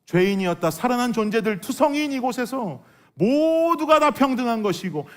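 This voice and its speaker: A middle-aged man with a hoarse, worn voice, preaching an earnest, emphatic sermon as a pastor and putting rhetorical questions to his congregation. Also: extremely high pitch, fairly bright tone. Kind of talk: Sunday sermon with personal testimony.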